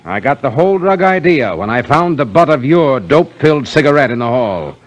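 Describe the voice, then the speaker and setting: A man's voice: mid-range voice, sing-song, a little fast, poor audio. An actor in a 1950s radio drama playing an authoritative police inspector, clipped and assured as he explains. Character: brisk, authoritative police inspector exposing the culprits.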